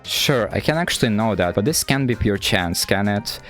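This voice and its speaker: Man reading as an anxious, overthinking low-voiced schoolgirl. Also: animated, moderate pace, fairly bright tone, faint music behind. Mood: earnest, musing. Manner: wordy, self-doubting inner monologue. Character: anxious, overthinking schoolgirl narrator, wordy and earnest. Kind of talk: visual novel read-aloud.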